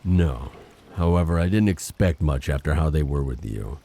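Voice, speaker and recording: An actor playing a vengeful monster older brother in an audio drama, speaking with formal, bitter menace. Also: male voice, extremely low pitch, vocal fry, warm tone, exaggerated voice. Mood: curt, resigned. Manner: menacing, formal, slow threats. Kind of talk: dramatic audio roleplay acting.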